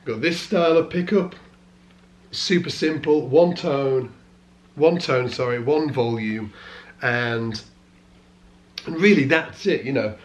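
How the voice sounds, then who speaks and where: A British man who is losing his voice, chatting casually to camera about bass guitars in a vlog. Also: slow pace, pauses often. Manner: chatty, self-correcting, explaining as he goes. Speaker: bass teacher and vlogger.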